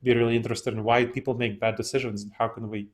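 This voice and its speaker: A man whose first language is not English, a guest in a casual interview, telling personal stories in a relaxed way and laughing often. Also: mid-range voice, quick pace. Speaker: interview guest, decision-making expert.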